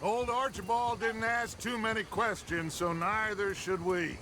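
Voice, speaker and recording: An actor playing a theatrical, boastful middle-aged American outlaw gang leader in a western game. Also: male voice, high voice, steady tone, measured pace, sharp tone, a little hiss or hum. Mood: pleased, scheming. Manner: declamatory, theatrical, playfully boastful. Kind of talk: cinematic western game acting.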